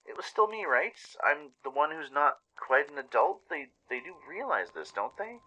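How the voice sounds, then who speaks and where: Guy doing a meek little pony kid, high bright voice, nervous n stammery, radio play thing. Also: very animated, normal speed, dull audio, exaggerated voice. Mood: flustered, worried. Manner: stammering, flustered questioning. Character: young foal pony, meek, anxious, naively curious.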